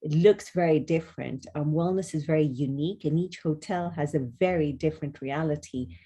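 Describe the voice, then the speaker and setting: A woman in her forties with a low, mellow voice answers interview questions as an expert guest. She is articulate and earnest, explaining her field in a conversational way. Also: fairly quick, husky. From Kenya. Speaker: wellness consultant and author, podcast guest.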